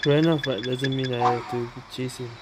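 A man's voice: mid-range voice, fairly even intonation, moderate pace, very noisy. A man muttering casual, frustrated reactions while playing a video game. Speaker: gamer. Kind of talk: casual let's play commentary. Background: game music.

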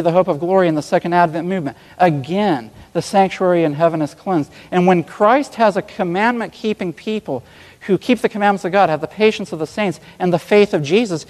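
High-voiced man preaching in a hall. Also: darkish tone. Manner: earnest, emphatic, asks rhetorical questions. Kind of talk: sermon.

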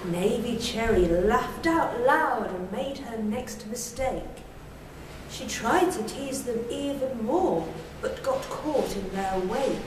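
A woman in her thirties telling a rhymed children's story in a prim, deliberate 1940s television presenter manner. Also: fairly high pitch, lively intonation. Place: small bare brick-walled room indoors. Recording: echoey room, distant mic, noisy.

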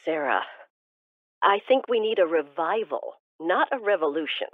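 A woman's voice: fairly low pitch, animated, moderate pace, dry recording. An actress playing a worried, devout mother in a radio drama, through a phone-like filter. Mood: pleading. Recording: thin, phone-like band, dry.

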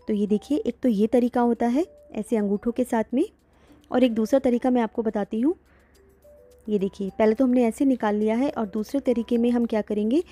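A woman cooking-channel host guides viewers through each step of a home recipe. Her voice sits a little high yet sounds warm and mellow. Faint music plays underneath her narration. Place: home kitchen.

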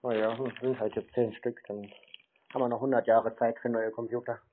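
A man's voice: medium pitch, level tone, fairly quick. A man chatting casually on a call, rambling and stalling in a nonchalant way. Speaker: scambaiter taking a scam call. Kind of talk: casual, stalling phone chat. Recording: muffled narrow-band audio with noticeable noise.

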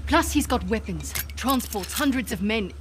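A woman's voice: on the high side, expressive, normal speed, background noise, natural voice. A woman playing a guarded, skeptical mercenary in her thirties in a video game, with a terse, matter-of-fact delivery. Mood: worried. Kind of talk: cinematic video game acting.

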